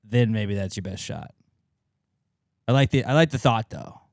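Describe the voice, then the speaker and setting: A man's voice: lowish voice, moderate pace, a little mellow, no room sound, clean studio sound. A man co-hosting a podcast, talking in casual comedic banter with slangy, sweary phrasing. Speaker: podcast co-host.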